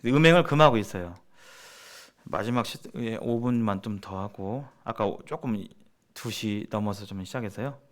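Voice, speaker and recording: A man's voice: lowish voice, normal speed. A man giving a sermon-like seminar lecture as a preacher, speaking emphatically and repeating phrases for stress. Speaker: preacher leading a church seminar.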